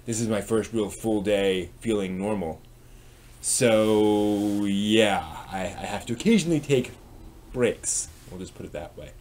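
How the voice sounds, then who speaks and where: A man's voice: on the low side, unhurried, darkish tone, a little hiss or hum. A man hosting a live stream, chatting casually and ramblingly as he responds to viewers. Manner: rambling, reacting to chat as it comes.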